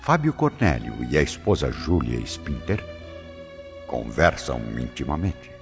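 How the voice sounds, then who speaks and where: A man narrating a radio drama in a slow, solemn storytelling manner. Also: extremely low pitch, wildly swooping pitch, creaky. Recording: dull lo-fi audio with faint music.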